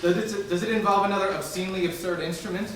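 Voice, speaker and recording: A man's voice: sits high, fairly even intonation, quick pace, fairly bright tone. A man playing a sarcastic, wisecracking talent show judge in a live radio-style comedy, far off in a noisy, echoey hall. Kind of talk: live old-time radio comedy acting. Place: live stage show in a library.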